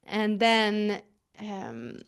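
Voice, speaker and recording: A woman's voice: mid-range voice, very animated, drawn-out words, smooth. A woman with a Swedish accent, a native Swedish speaker, giving hesitant interview answers and searching for English words. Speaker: actress interviewee.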